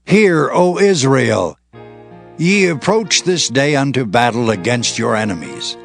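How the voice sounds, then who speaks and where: An ancient Israelite priest addressing troops before battle. He declaims solemnly and very slowly, with wide swings of pitch. Faint music plays behind this scripture drama. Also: expressive, creaky. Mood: solemn, rousing. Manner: slow, declamatory.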